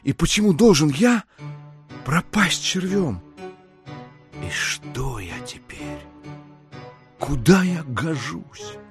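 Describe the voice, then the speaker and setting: An actor playing a self-pitying, theatrical schemer who laments in rhetorical flourishes in an audiobook drama. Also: male voice, fairly high pitch, very animated, takes it slowly, long pauses. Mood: plaintive, indignant. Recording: studio drama with clear music behind.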